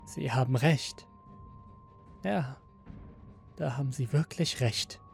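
A rebellious android played by a male narrator in a science-fiction story, speaking with bitter defiance. The voice sits fairly high with some gravel in it, over faint music. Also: slow pace. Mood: resigned.

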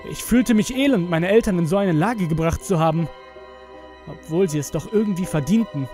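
A man's voice: sits high, moderate pace, a little mellow. A man narrating a scripted horror story dramatically, as the narrator of an audio tale. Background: music with strings.

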